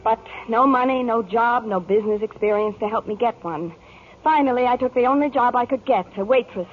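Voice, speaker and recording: An actress playing a devoted, long-suffering mother in a 1940s radio noir drama, speaking earnestly and sometimes haltingly. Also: fairly high pitch, close to an everyday voice. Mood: weary, reflective. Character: hardworking middle-aged mother, devoted, long-suffering, recounting her troubles. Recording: muffled, noisy 1940s radio broadcast.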